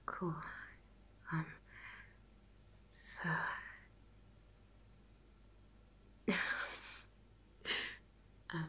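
A woman playing a nervous, giddy young woman in an ASMR roleplay, stammering softly and often whispering in a halting, giggly way. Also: sits low, full character voice. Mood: nervous, shy. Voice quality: soft, breathy, often whispered. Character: nervous, giddy young woman before and after first kiss.

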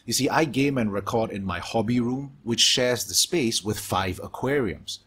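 A man from Singapore with a Singaporean English accent, reading a scripted gaming Q&A voice-over in a steady, measured way from his home hobby room, his s sounds sharp and a low hum behind him. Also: husky. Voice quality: strong sibilance, low hum from aquarium pumps. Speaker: gaming YouTuber.

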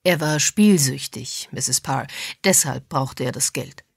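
A woman's voice: rumbling low, takes it slowly, creaky, breathy edge, dry recording, studio quality, full character voice. A woman voicing a composed, practical man, a methodical amateur sleuth in an audiobook. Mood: explanatory. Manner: methodical, explanatory.

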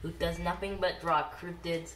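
A man's voice: fairly high pitch, steady tone, normal speed, a bit bright, recorded from a distance, faint music behind. A young male co-host chatting casually and dramatically while drawing. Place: indoors at a wooden table. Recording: echoey, noisy home recording.

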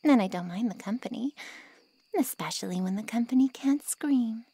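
A woman playing a snide, taunting female monster in an ASMR roleplay, with a sarcastic drawl and a breathy, half-whispered voice. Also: on the high side, lively intonation, normal speed, mellow, no room sound. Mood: smug, sly. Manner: sarcastic, insulting, teasing drawl.

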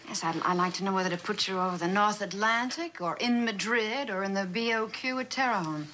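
An actress playing a gentle, lonely young aunt in a vintage television drama, speaking tenderly and hesitantly. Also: female voice, mid-range voice, brisk, a little hiss or hum, lightly acted, low-quality recording. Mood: wistful. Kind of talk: 1960s television drama acting.

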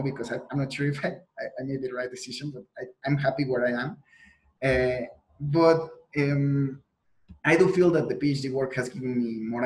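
Young man, Mexican Spanish accent, native Spanish speaker, earnest, halting restarts, video call. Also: mid-range voice, rough recording. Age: young adult. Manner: frequent restarts and fillers, earnest. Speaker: guest, PhD student. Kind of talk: conversational interview answers. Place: indoor room on a video call.

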